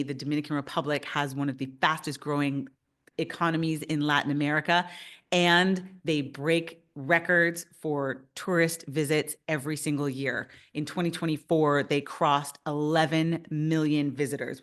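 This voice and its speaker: A woman in her fifties from Canada, presenting a webinar as a realtor in an upbeat, enthusiastic way. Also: deep voice.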